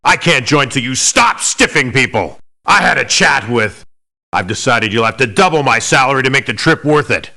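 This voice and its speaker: A man's voice: medium pitch, lively intonation, normal speed, bright, forceful tone. An actor playing a cocky, demanding male elite mercenary in a video game, speaking bluntly with clipped indignation. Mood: cool, self-assured. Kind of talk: video game character voice lines.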